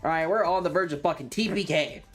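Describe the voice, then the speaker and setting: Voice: medium pitch, fast talker, soft background music. A streamer telling a story casually and emphatically to viewers, with frequent swearing.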